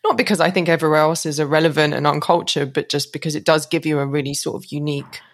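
Woman, host, very low voice, thoughtful, conversational. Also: fairly even intonation, fast. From London. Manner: conversational, thoughtful, musing aloud. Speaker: podcast host. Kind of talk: casual interview chat.

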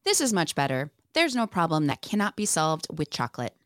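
A woman's voice: low-pitched, very animated, brisk, a bit bright, no room sound, clean studio sound. A woman playing a plucky, wry young monster slayer in a script table read.

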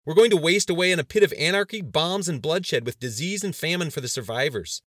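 A man voicing a panicked, scornful male politician in an audiobook, shouting his objections with incredulous mockery. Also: a little high, expressive, brisk, no echo, clean studio sound, pitched above the performer's own voice. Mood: heated. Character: panicked, scornful male cabinet member arguing in dread. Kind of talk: dramatized audiobook dialogue.

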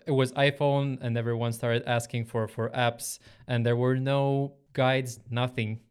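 A man's voice: medium pitch, level tone, measured pace, a little mellow, clear, resonant tone. A man hosting a podcast in a conversational style. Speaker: podcast host.

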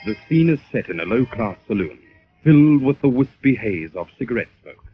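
A man's voice: medium pitch, expressive, fairly quick. A man announcing and narrating a 1940s radio thriller in a scripted, dramatic style. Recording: muffled old radio recording. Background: music.

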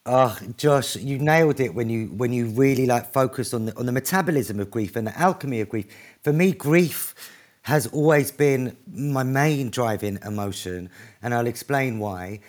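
A man speaks in an average-pitched voice with warm earnestness. He is an author answering a question at a book event. His talk is quick and emotional, full of restarts and asides. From North East London, England. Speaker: author and activist, guest.

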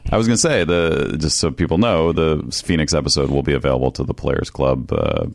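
A man's voice: very deep voice, expressive, fairly quick, creaky, no echo. A man co-hosting a casual comedy podcast, making dry, supportive asides. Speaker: podcast co-host.

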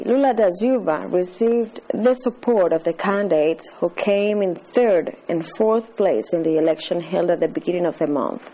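Mellow woman newsreader, dull lo-fi sound. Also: fairly low pitch. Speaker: radio news reporter. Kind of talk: scripted news report. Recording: dull lo-fi shortwave radio broadcast.

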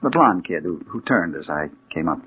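An actor playing a hard-boiled American detective, narrating and acting with dramatic intensity in a 1940s radio crime drama. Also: male voice, sits low, very animated, moderate pace, slightly rough, full character voice. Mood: tense, hushed. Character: hard-boiled male private detective bent on revenge. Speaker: narrator and lead character. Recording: muffled old radio recording with hiss.

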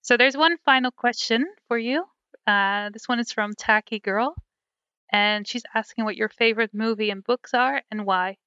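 Dutch woman with a bright, crisp voice. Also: on the high side, moderate pace, no echo, lo-fi sound. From Netherlands. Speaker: podcast co-host. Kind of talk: casual chat.

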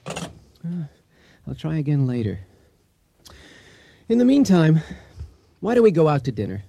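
Radio drama: caring husband, animated, high-pitched male. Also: measured pace, vocal fry, dry recording, clean studio sound, full character voice. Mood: concerned.

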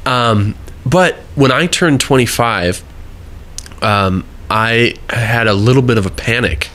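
A man in his thirties hosting a casual interview podcast, friendly and chatty with quick asides. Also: mid-range voice, a bit bright. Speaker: podcast host.

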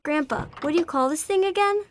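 A woman's voice: sits high, quick pace, noisy background, close to an everyday voice. A young female performer playing a curious, cheeky granddaughter in scripted radio ad dialogue. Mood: cheeky, skeptical.

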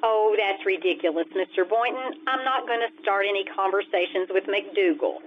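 A woman's voice: mid-range voice, normal speed, noisy background, close to an everyday voice. A woman playing a wry, wisecracking schoolteacher with a dry, sardonic delivery in an old-time radio sitcom. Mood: wry, amused. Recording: tinny, band-limited radio-style recording.

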